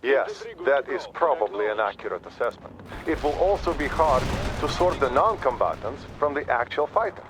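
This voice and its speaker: An actor playing a thoughtful Soviet intelligence officer with a Russian accent, giving measured, matter-of-fact reports in a military video game. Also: male voice, mid-range voice, lively intonation, lightly acted. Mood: sober. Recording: dull radio sound, faint battle noise.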